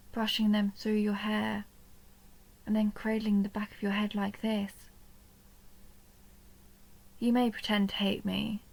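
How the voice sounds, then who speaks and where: A woman playing a seductive, possessive vampire countess in an intimate audio roleplay, speaking slowly and teasingly, often dropping toward a breathy whisper. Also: mid-range voice, darkish tone, poor audio. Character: seductive possessive vampire countess toying with her human captive. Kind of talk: intimate dark romance audio roleplay, ASMR-like.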